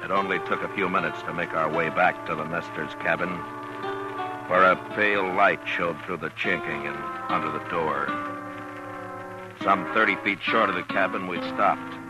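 An actor playing a stern, steady frontier marshal in a 1950s radio western, terse and authoritative. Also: male voice, low-pitched, natural voice, poor audio. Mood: grave narration. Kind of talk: 1950s radio western acting and first-person narration. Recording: vintage 1950s radio recording with music.

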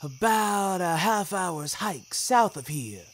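A man's voice: high voice, animated, takes it slowly, slight background noise. A man voicing a jovial, worldly old wolf in an audio story, theatrical and genial with a sly edge. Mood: conceding, persuading. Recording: music bed under the voice.

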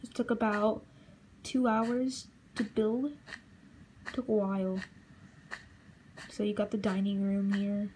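A young woman casually narrating a walkthrough of a game build she made, halting and relaxed, with fillers. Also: medium pitch, slight background noise, poor audio. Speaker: channel host.

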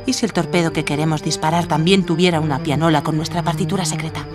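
An actress playing a witty, glamorous film-star inventor in a radio drama, with playful, theatrical delivery. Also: female voice, low voice, steady tone, quick pace, music in the background, natural voice. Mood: excited, urgent. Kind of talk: radio drama acting and first-person narration.

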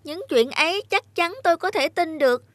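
A woman's voice: very high voice, animated, normal speed, no echo. A woman voicing a clever, cunning male cat in a cartoon fairy tale, eloquent and courtly in manner. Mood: sly, flattering.

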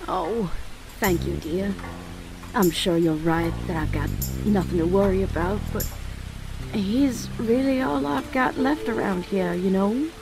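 A woman's voice: mid-range voice, a little slow, over music, close to an everyday voice, poor audio. A woman playing a worried, pleading elderly widowed neighbor in an audio drama, with a plaintive, fussy manner. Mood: sad, grateful.

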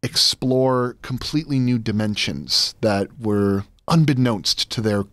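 Man, thirties, casual interview guest, halting explanations, close mic, very dry sound. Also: medium pitch, a bit bright, dry recording. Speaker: guest, editor and sound designer. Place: indoor podcast studio. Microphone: close studio microphone on a boom.

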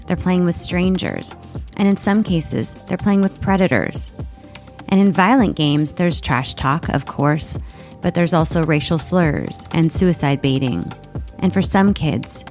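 A woman hosting a podcast, reading scripted narration in an earnest, measured way. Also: on the low side, a little mellow. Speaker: podcast host. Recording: music bed underneath.

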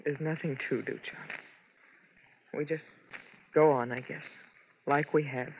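An actress playing a frightened, clinging showgirl wife in a 1950s radio drama, halting and pleading. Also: low voice, fairly even intonation, slight background noise. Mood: weary resignation. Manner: pleading, halting, repeating herself. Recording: dull 1950s radio broadcast with music.

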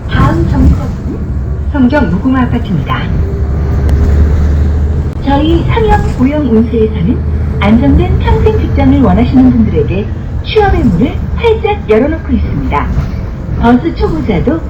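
Female transit announcer, formal and polite, lively melodic delivery, muffled and distant in a noisy vehicle. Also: sits high, normal speed, pauses often. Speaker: bus announcer. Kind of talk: scripted public transit announcement. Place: played over speakers inside a bus. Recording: roomy, muffled, distant, loud bus noise. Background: loud bus ambience and vehicle noise.

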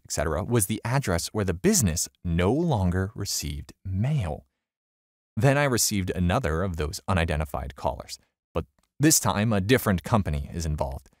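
A man reading a first-person online story aloud, telling it in an animated way. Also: no echo, clean studio sound. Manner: animated storytelling with wide pitch swings. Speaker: story narrator.

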